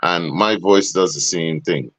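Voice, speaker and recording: A middle-aged man with a deep, booming voice hosts a casual podcast. He speaks emphatically and gets animated when he pushes back with pointed questions. The sound is dry and close. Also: a little slow, no echo. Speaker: podcast host.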